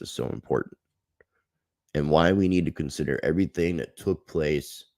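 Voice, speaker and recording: A man's voice: a bass voice, gravelly, darkish tone, dry recording, studio quality. A man hosting a livestream, chatting casually and earnestly to his viewers. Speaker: livestream host.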